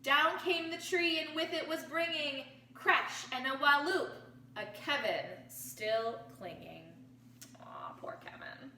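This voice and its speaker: A woman reading a picture book aloud to children like a teacher, expressively, pausing to pose questions to her young listeners. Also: high-pitched, sharp tone. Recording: roomy, distant mic with faint noise.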